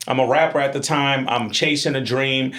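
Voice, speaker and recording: A man, a podcast guest talking about his media career in energetic, boastful street slang. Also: mid-range voice, normal speed. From Honduras. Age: 30s. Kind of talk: casual interview chat.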